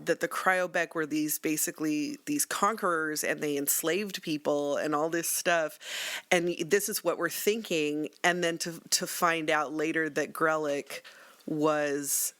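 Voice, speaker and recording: A woman's voice: deep voice, fairly even intonation, measured pace, fairly bright tone, no room sound. A woman chatting casually as a game player, self-deprecating and acting out her own thoughts as she talks. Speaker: player on a tabletop game podcast. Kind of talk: casual chat.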